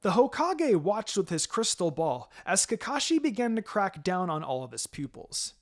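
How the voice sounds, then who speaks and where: A man's voice: sits high, sing-song, normal speed. A man reading fan fiction aloud as a narrator, in a dramatic scripted storytelling style. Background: music.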